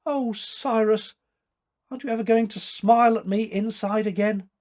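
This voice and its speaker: A man voicing a tearful, timid young woman in a halting, trembling way for a story reading. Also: high-pitched, fairly even intonation, darkish tone, pitched above the performer's own voice, close to an everyday voice. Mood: sobbing appeal.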